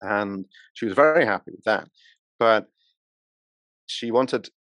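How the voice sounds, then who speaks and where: A man's voice: on the low side, expressive, slow pace, fairly bright tone, dry recording. A man, a university researcher, explaining his work in an academic, explanatory way during a conversational interview. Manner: explanatory, academic, with frequent restarts. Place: Japan.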